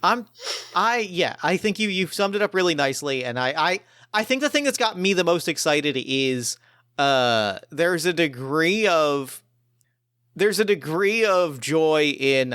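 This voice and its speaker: A man's voice: on the high side, fairly bright tone, clear, resonant tone, no echo, clean studio sound. A man hosting as game master, chatting casually and narrating a game scene with enthusiasm. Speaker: game master and podcast host.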